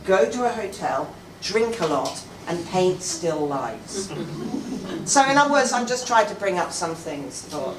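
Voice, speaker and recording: A woman speaking on an art panel to an audience, blunt and digressive, with salty asides. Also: medium pitch. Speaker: guest speaker, art critic. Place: gallery or club room with audience. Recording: echoey room, distant mic, background noise.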